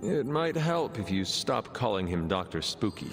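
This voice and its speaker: An earnest, measured warrior from a superhero game. The voice is deep and gravelly, with formal phrasing. It echoes in a large space with music behind. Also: male voice, very animated. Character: calm, formal resurrected warrior hero, a man. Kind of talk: video game cutscene acting.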